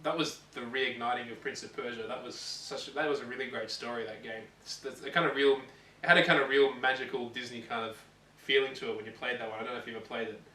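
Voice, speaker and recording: A man chatting casually as co-host of a gaming show, often halting and repeating himself as he thinks. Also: medium pitch, fairly even intonation, sharp tone. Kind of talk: casual chat about films and games. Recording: roomy, distant mic with faint noise.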